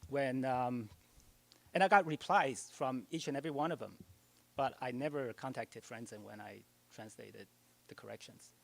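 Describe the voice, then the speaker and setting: A man's voice: medium pitch, normal speed, creaky. Hesitant man with a Taiwanese accent. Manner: hesitant, many ums, light self-deprecating humor. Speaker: translator giving a library talk. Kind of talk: public talk. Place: public library event.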